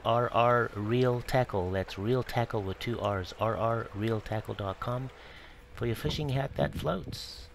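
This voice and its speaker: A man's voice: medium pitch, a little slow, gravelly. A man narrating a fishing vlog in short, clipped, informal phrases with a promotional bent. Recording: loud outdoor water and boat noise.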